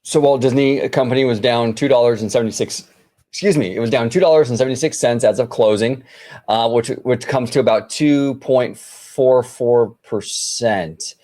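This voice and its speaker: A man's voice: mid-range voice, moderate pace, dark tone. A man hosting a casual financial news discussion, informal and buddy-like, repeating himself for emphasis. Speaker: host.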